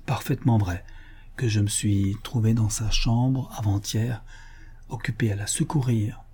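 A man's voice: on the low side, fairly even intonation, a little slow, mellow, slightly rough, full character voice. A man reading the part of a guilt-ridden young man who speaks in a muffled, harsh, curt way. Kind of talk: audiobook character reading.

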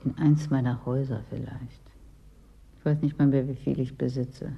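A woman's voice: very deep voice, fairly even intonation, normal speed, vocal fry, husky, muffled recording, heavily acted. An actress in a German radio play playing a chilled, wistful woman who owns property in a frozen city, querulous and brooding. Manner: querulous, brooding, dwells on memories.

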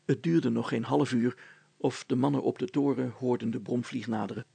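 Gravelly man reading a children's story. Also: medium pitch, steady tone, moderate pace, warm tone, no room sound. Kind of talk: scripted children's story narration.

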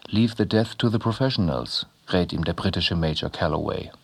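A man's voice: low voice, lively intonation, normal speed, vocal fry, slightly rough. A man reading literary prose as a narrator in a radio drama.

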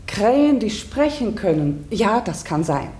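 An actress playing a village schoolteacher in a German radio drama, articulate and measured, stern yet gentle. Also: female voice, medium pitch, sing-song, very noisy. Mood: brisk, commanding. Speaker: actor in a radio play. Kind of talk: German radio drama, narration and classroom dialogue.

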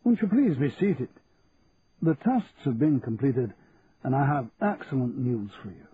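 A man voicing a cheerful, courteous doctor with a soft Scottish accent in a story reading. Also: mid-range voice, lively intonation, a little slow, husky, phone-quality sound. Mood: cheerful, congratulatory.

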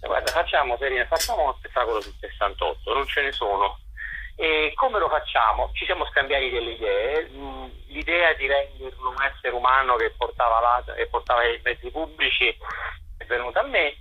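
Husky man on a muffled line. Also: medium pitch. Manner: discursive, articulate, with hesitant pauses. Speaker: stage director and actor, interview guest. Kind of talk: interview, promoting a theatre show. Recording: muffled lo-fi phone-style radio line.

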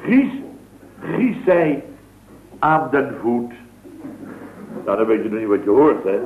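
Wisecracking builder, chatty storyteller, echoey hissy vintage radio recording. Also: male voice, mid-range voice, very animated, slow pace. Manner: chatty, rambling anecdote with punchy comic asides. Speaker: comic storyteller in a radio sketch. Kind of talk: 1960s radio comedy sketch. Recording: tinny, noisy, echoey old radio broadcast.

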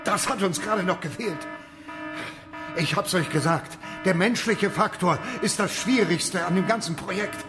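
Man playing high-pitched, exasperated colony leader. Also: moderate pace, over music, close to an everyday voice. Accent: standard German. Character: exasperated male leader of a space colony project. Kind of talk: radio play acting.

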